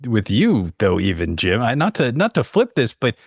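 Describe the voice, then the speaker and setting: A man's voice: mid-range voice, huge pitch swings, normal speed, vocal fry, breathy edge, no echo, clean studio sound. A man speaking as a podcast guest in a casual, conversational way. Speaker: podcast guest, horror fiction writer. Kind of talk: casual chat.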